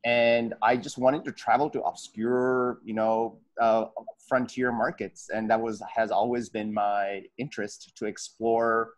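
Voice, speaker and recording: A man's voice: mid-range voice, flat delivery, unhurried. A man in his thirties who grew up in California, a founder speaking candidly and reflectively on a video call from an office, with many fillers. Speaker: startup founder. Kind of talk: casual panel talk on a video call. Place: office with posters. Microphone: wired earphones on a video call.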